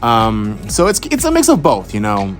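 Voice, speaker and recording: A man's voice: on the low side, huge pitch swings, normal speed, faint music behind. A young man from Houston answering follower questions about voice acting in a loose, rambling chat, full of fillers and restarts. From Houston, Texas. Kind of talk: casual Q&A monologue.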